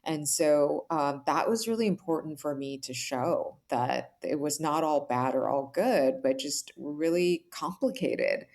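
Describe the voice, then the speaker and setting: A woman's voice: low voice, lively intonation, measured pace, hardly any pauses, a little mellow. A woman giving earnest, reflective interview answers in a conversational way, often saying "like" and checking in with "right?". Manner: earnest, explanatory, frequent "like" and "right?". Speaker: author, interview guest.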